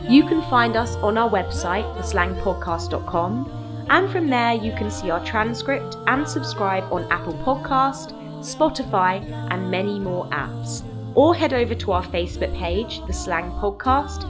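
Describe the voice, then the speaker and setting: A woman with a British accent and a medium-pitched voice hosts a podcast. She reads prepared material in a clear, explanatory manner, like a teacher guiding listeners through a lesson. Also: lively intonation, measured pace, over music. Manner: clear, explanatory, spells out words. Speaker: podcast host. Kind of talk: scripted educational narration.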